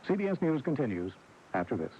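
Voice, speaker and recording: A man's voice: medium pitch, sing-song, fast, dark tone, dull audio, slight background noise. A man anchoring scripted radio news on an old AM broadcast recording. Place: radio studio.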